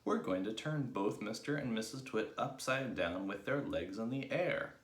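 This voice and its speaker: An excitable monkey father played by a male reader rallies his troop in a storybook. He is bossy and clever, swooping up and down with urgent, exclamatory orders in an echoey room. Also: fairly low pitch, moderate pace, voice pushed up, natural voice. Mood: firm. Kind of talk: dramatised children's storybook reading.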